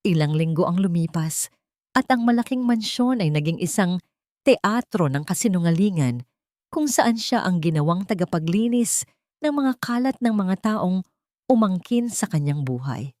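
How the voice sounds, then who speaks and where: A Filipino woman narrates a dramatic audiobook story. Her voice sits fairly low, and the recording is dry and close, with little room sound. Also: animated, moderate pace, darkish tone, no echo.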